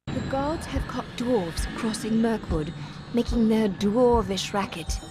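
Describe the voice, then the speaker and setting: A haughty female elf sentry from a video game, somewhat high in voice. She sounds watchful, with loud music over her. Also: lively intonation, unhurried. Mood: disdainful.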